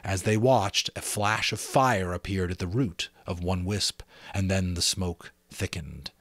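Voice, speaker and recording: A man's voice: low-pitched, a little slow, fairly bright tone, dry recording. A man reading a novel aloud as a dramatic amateur audiobook narrator.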